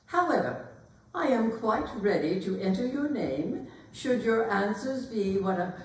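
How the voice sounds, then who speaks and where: A woman's voice: mid-range voice, animated, measured pace, close to an everyday voice. A woman acting an imperious upper-class mother who pompously interrogates a suitor in a staged comedy reading. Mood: haughty, imperious. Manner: pompous, pronouncing grand opinions. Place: performance hall, recorded from a distance. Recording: roomy echoey hall, distant microphone.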